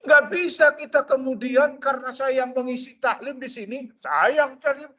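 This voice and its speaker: Bright-voiced high-pitched Indonesian preacher. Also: male voice, moderate pace, highs cut off. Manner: expressive, acts out quoted people in asides. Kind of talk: mosque study lecture. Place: mosque.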